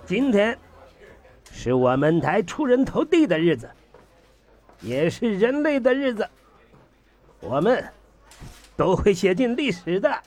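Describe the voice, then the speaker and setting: A man's voice: high-pitched, big pitch swings, slow, pauses often, darkish tone, full character voice. A man playing a self-important observatory director in an audio drama, speechifying in a pompous, declamatory way. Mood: pompous rallying.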